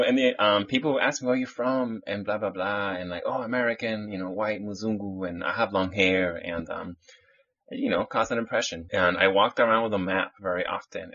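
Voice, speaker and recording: Low husky man from Chile. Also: a bit bright. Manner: rambling, many restarts and fillers. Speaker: podcast guest. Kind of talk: casual podcast chat. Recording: muffled lo-fi audio.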